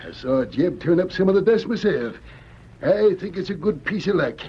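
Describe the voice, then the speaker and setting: A man's voice: high-pitched, animated, normal speed, husky. A man acting a folksy, hopeful gold prospector in an old-time radio drama, with a hesitant, homespun drawl. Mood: worried, defensive. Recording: muffled, noisy old-time radio recording.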